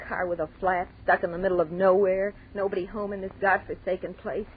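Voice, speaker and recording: An actress playing a brash, impatient, wisecracking college student in a 1940s radio drama, sarcastic and blunt. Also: female voice, mid-range voice, level tone, brisk, narrow, tinny audio, natural voice. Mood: exasperated, weary. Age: young adult. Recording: scratchy, thin 1940s radio recording.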